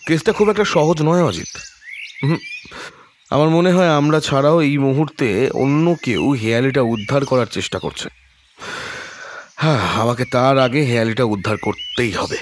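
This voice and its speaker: Audio drama sleuth, played by a man: shrewd, composed, musing, medium pitch, light background noise with birdsong. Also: natural voice. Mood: brooding resolve. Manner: measured, musing, quietly questioning. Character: calm, shrewd male detective, probing and thoughtful.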